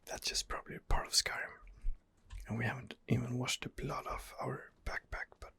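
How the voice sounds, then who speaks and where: Whispering man with crackly, breathy voice. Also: monotone, moderate pace, pushed and bright, dry recording. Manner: hesitant, rambling, thinking aloud. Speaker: gaming ASMR host playing in character. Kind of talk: whispered ASMR roleplay game commentary. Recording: low-level close whispered recording.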